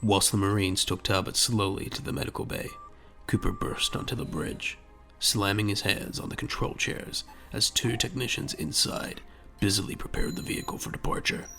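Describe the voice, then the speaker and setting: A man's voice: on the low side, normal speed, gravelly, pushed and bright, soft background music. A man narrating an audio drama in a dramatic, scripted storytelling style.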